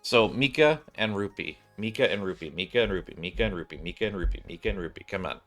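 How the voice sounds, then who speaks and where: A man's voice: lowish voice, expressive, fast talker, a bit bright. A man giving casual, rambling gameplay commentary as a streamer, half muttering to himself and his viewers. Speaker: game streamer. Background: game music.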